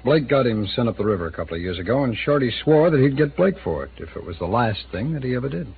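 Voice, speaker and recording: An actor playing a frank, resigned town official in a 1950s radio drama. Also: male voice, mid-range voice, big pitch swings, fast, gravelly, breathy edge, full character voice. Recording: tinny old radio transcription.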